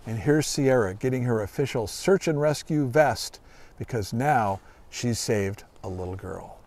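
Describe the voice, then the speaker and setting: A middle-aged man reading a children's storybook aloud outdoors in a deliberate, expressive way. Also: medium pitch. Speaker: guest author reading own children's book. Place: outdoors on a grassy lawn by trees.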